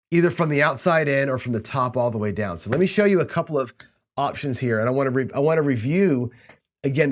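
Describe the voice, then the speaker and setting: Man, stuffy nose from a cold, weak voice, mid pitch, casual tutorial explaining, tag questions. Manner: conversational, frequent tag questions "right?". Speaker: host of a casino gambling channel.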